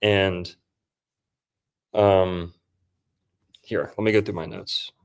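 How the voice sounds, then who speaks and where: A man's voice: low-pitched, flat delivery, extremely slow, smooth. A man hosting a podcast, casually breaking down a movie in a loose, rambling way with lots of fillers. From Florida. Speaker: podcast host.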